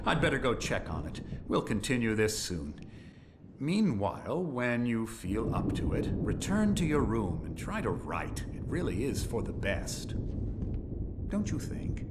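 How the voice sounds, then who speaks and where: A silky manipulative doctor. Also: male voice, medium pitch, sing-song, unhurried, very noisy. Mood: coaxing. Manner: silky, coaxing, condescending. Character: smooth manipulative middle-aged male doctor. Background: music.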